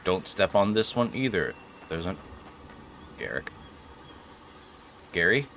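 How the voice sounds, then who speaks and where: A man's voice: low voice, big pitch swings, slow, fairly bright tone, a little hiss or hum. A man doing casual, joking let's-play commentary, chatting to himself and to game characters. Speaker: gaming streamer. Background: video game ambience.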